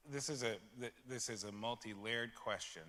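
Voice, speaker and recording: Man co-host, bright punchy voice, rambly hesitant question, echoey hall. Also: mid-range voice, moderate pace. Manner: hesitant, meandering phrasing. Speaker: forum host and interviewer. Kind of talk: interview questions.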